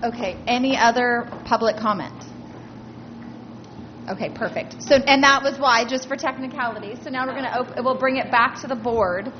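A woman's voice: a little high, normal speed. A woman chairing a public meeting, friendly and a little flustered. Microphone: table microphone. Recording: muffled meeting audio with audible noise.

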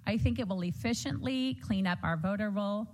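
A woman with a strong, loud voice giving an earnest, hopeful answer as a candidate in a debate. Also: mid-range voice, moderate pace, rough recording.

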